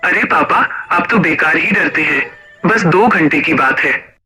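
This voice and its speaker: A man's voice: on the high side, animated, measured pace, sharp tone, soft background music, full character voice, low-quality recording. A man voicing a dutiful, anxious young delivery rider in a cartoon horror story. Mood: reassuring, dutiful.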